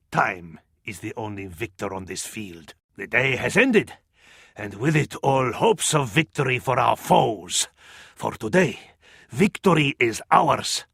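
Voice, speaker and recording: An actor playing a devout, grandiose advisor to a sultan in a video game, with an Arabic accent and flowery, dramatic delivery. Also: male voice, medium pitch, vocal fry, bright, forceful tone, husky, dry recording, clean studio sound, exaggerated voice. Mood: solemn satisfaction. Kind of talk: theatrical video game voice acting.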